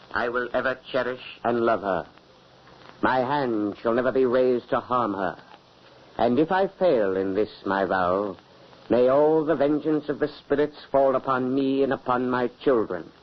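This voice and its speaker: An actor playing a solemn bridegroom swearing a doomed vow in an old-time radio drama, declamatory in delivery. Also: male voice, medium pitch, measured pace, close to an everyday voice. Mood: solemn, ominous. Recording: muffled, noisy old radio recording.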